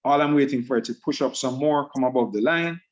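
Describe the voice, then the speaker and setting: A man's voice: mid-range voice, fast, lo-fi sound. A man presenting a trading system on an online group call, upbeat and laughing often, with rambling restarts. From Jamaica.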